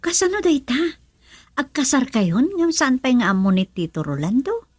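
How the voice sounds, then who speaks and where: A woman's voice: sits high, very animated, moderate pace, a little mellow, husky, dry recording, soft background music, full character voice. Worried woman acted in a dry radio drama. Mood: questioning. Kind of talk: Ilocano radio drama acting.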